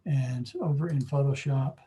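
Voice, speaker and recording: A hoarse man teaching a photo-editing lesson, explaining at an easy pace with lots of fillers. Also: mid-range voice, a little slow, a little hiss or hum. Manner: relaxed, explanatory, with frequent fillers. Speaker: photography club instructor. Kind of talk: screen-share software tutorial.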